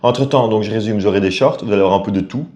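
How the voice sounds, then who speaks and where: Chatty middle-aged man explaining calmly. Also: on the low side, racing. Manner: relaxed, chatty, explains step by step. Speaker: family vlogger. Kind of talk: casual chat to camera.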